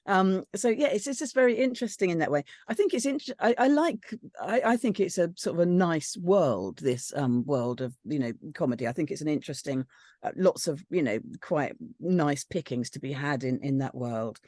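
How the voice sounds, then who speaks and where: A woman's voice: sits low, lively intonation, moderate pace, darkish tone, dry recording, studio quality. A woman on a reading panel giving casual, tentative feedback, circling round her phrases. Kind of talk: casual discussion.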